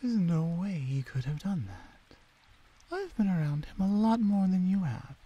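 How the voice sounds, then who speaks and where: A woman voicing a wary, protective orc huntress in an ASMR roleplay, soft and blunt with an unhurried delivery. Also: fairly low pitch, sing-song, breathy edge, lo-fi sound, exaggerated voice. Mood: skeptical.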